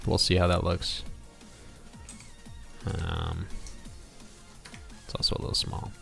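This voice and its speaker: A man with a fairly low, slightly gravelly voice chats casually as a livestream host over music. He rambles playfully, half to himself and half to his viewers, in the easy style of a game developer working live. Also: completely flat delivery, takes it slowly, fairly bright tone. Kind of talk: casual livestream chat while drawing, reading out viewer comments.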